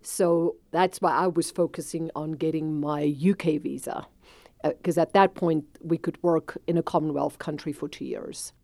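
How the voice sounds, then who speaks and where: A woman's voice: low voice, fairly even intonation, normal speed, vocal fry, warm tone, no echo. A woman in her forties from South Africa, a native Afrikaans speaker with a South African accent, telling her life story in a reflective interview and laughing easily.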